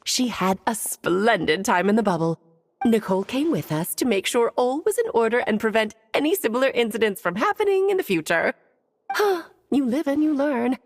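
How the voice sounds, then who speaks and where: Gracious, high-voiced, lilting mage. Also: female voice, big pitch swings, normal speed, no room sound. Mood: gracious, amused. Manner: gracious, lightly playful. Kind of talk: video game cutscene acting. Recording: music behind the voice.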